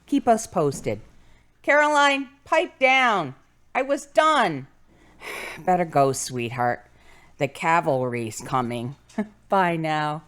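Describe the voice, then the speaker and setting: A woman's voice: sits low, expressive, slow, long pauses, fairly bright tone, clear, resonant tone, full character voice. A woman acting an older, playful, nagging and affectionate mother in an audio drama. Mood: fussy, playful.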